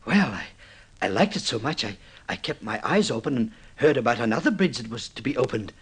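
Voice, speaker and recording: An actor playing an excitable, obsessive newlywed man in an old-time radio drama, halting and stammering as he tells his story. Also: medium pitch, breathy edge, heavily acted. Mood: proud, excited. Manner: halting, stammering repeats, swinging into boastful excitement.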